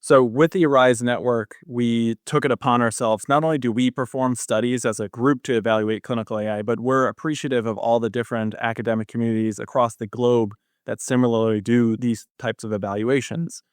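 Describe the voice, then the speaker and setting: Young man, expert podcast guest, medium pitch, crisp smooth voice, explanatory interview talk, dry recording. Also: steady tone, fairly quick, hardly any pauses, dry recording. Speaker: cardiology fellow, podcast guest.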